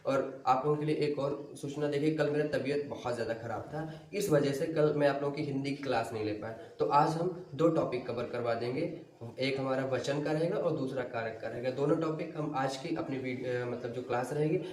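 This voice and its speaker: A man's voice: mid-range voice, brisk, a little mellow. A man explaining things informally to students like a coaching teacher, mixing in English words and often checking that they follow. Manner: explanatory, with frequent check-in tags; mixes English words into speech. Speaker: exam coaching teacher and video host. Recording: echoey room, distant mic, faint noise.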